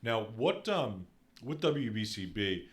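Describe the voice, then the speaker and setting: A man's voice: fairly low pitch, sing-song, slow. A man with an American accent hosting a casual interview in an affirming, conversational way. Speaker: podcast host, real estate agent.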